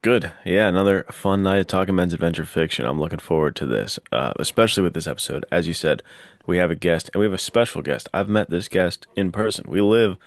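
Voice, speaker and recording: A man's voice: deep voice, brisk, dry recording, clean studio sound. A man co-hosting a casual podcast discussion, explaining things with enthusiasm. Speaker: podcast co-host.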